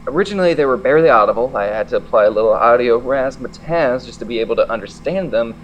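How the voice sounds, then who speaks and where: A man's voice: medium pitch, expressive, fast, muffled recording, slight background noise, lightly acted. Man as Ian, wry mid-voiced sidekick. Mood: sceptical, teasing. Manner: matter-of-fact, teasing. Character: Ian, wry, level-headed male friend and audio tinkerer. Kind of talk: naturalistic audio drama dialogue.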